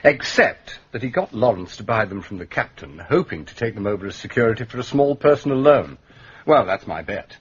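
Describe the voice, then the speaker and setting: An urbane gentleman sleuth in a radio play, with an educated English accent. His voice is very husky and swoops freely as he muses with dry, donnish amusement. The recording is slightly muffled. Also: fairly low pitch, very animated, fairly quick. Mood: explaining, thoughtful. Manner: musing, understated, donnish. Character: Albert Campion, urbane, dryly amused gentleman detective. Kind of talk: British radio drama acting.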